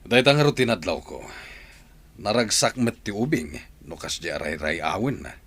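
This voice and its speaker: A man's voice: sits low, lively intonation, moderate pace, fairly bright tone, heavily acted. A man playing a worried but resolute family head in a radio drama, serious, with an Ilocano Filipino accent.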